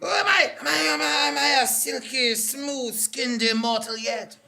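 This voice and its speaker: A woman's voice: high-pitched, normal speed, creaky, pushed and bright, heavily acted. A woman playing an anguished explorer hungry for immortality in a live comic stage drama, theatrical, declamatory and prone to stammering. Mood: awed, hesitant.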